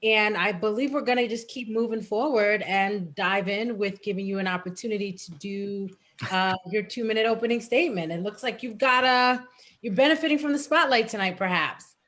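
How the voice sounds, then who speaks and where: A woman's voice: medium pitch, moderate pace, fairly bright tone. A woman moderating an online candidate forum, friendly and chatty, restarting phrases with many ums, on a video call. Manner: friendly, filler-heavy, repeats herself.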